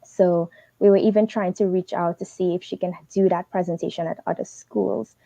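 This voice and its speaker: A young woman from Trinidad and Tobago, giving fast, earnest advice as a teaching assistant on a livestream. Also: on the low side, steady tone, gravelly, mellow, no echo, dull audio. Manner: fast, earnest, advising directly.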